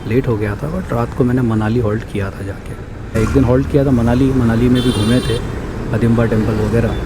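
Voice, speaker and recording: A man with a hoarse, sore throat, chatting casually in a rambling way as he recalls a long motorcycle trip. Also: medium pitch. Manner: chatty, rambling recollection with fillers. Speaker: motovlogger. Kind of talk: casual vlog talk while riding. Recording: loud road and traffic noise.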